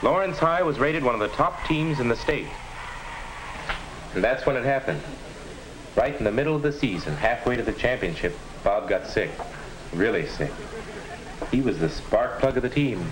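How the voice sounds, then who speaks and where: Casting: young male actor as sincere American high schooler recounting school days for a 1950s classroom film, folksy delivery, noisy vintage audio. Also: animated, normal speed, pauses often, natural voice. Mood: earnest, nostalgic. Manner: earnest, folksy. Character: earnest high school boy recalling his school. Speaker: narrator. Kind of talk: 1950s educational film narration, scripted first-person storytelling.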